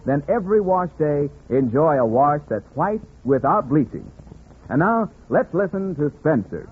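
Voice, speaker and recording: A man's voice: fairly high pitch, big pitch swings, measured pace, narrow, tinny audio, slight background noise. An American man announcing in polished 1940s radio commercial style, like a salesman addressing listeners. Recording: thin, murky 1940s radio.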